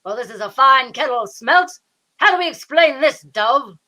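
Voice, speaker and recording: Woman as fussy, bright, swooping sidekick Rembrandt. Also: high voice, lively intonation, moderate pace, exaggerated voice. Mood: droll, dismayed. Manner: arch, theatrical, fussy. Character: Candy's fussy, superstitious, droll male sidekick Rembrandt. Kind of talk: old-time radio comedy-mystery acting.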